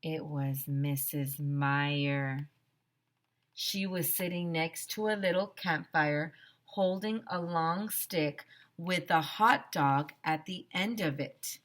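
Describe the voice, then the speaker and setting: A woman teacher reading a children's story aloud in an expressive, dramatic way, speaking to young listeners. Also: low voice, slow.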